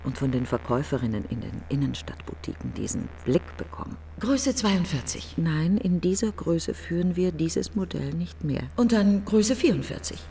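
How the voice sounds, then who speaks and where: Creaky, brooding grieving woman. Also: low voice, animated, warm tone, a little hiss or hum. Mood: bitter, ironic. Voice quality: breathy, often dropping to a whisper. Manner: fragmented, brooding, deliberate phrasing. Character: grieving, bitter, reflective woman alone after a friend's funeral. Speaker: actress performing a radio monologue. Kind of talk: literary radio play monologue.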